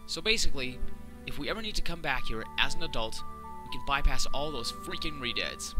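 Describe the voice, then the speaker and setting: A young man with a slightly hoarse voice from a cold, giving chatty, sarcastic commentary while playing a video game. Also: medium pitch, animated, a little fast. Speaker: let's play commentator. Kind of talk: casual gameplay commentary. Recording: loud game music under voice.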